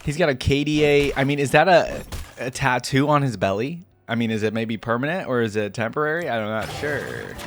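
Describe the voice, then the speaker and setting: A man's voice: medium pitch, animated, a little fast, faint music behind. A man hosting a reaction video, speaking in an informal, enthusiastic way. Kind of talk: casual reaction commentary and story reading.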